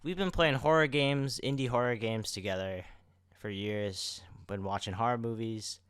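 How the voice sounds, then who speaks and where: A man's voice: medium pitch, moderate pace, a bit bright, smooth, dry recording, studio quality. A young man co-hosting a podcast in casual chat, now and then addressing listeners like an announcer. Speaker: podcast co-host.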